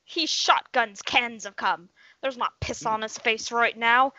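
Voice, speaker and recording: A woman's voice: fairly high pitch, unhurried, bright, forceful tone, dull audio. A woman voicing a foul-mouthed, mocking leprechaun villain who taunts with exasperated menace.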